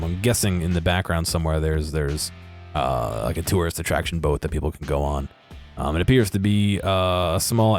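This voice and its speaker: A man with a low voice narrates video game footage in an offhand, unscripted way. He muses aloud and hesitates as he works out what to say. Music plays behind his commentary. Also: a little mellow. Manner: hesitant, musing, thinking aloud. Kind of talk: casual unscripted gameplay commentary.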